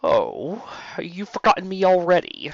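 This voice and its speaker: A man's voice: high voice, huge pitch swings, measured pace, vocal fry, no echo, muffled recording, pitched above the performer's own voice, full character voice. A man voicing a mocking, serpentine chaos spirit with a sarcastic, drawling, theatrical delivery in a fantasy story. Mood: mocking, amused.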